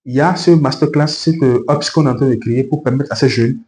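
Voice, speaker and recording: A man's voice: medium pitch, quick pace, a little mellow. A man from Benin with a Beninese accent, a native speaker of a local language, giving earnest, explanatory interview answers and advice point by point. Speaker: interview guest, fashion professional. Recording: roomy echo with faint background noise.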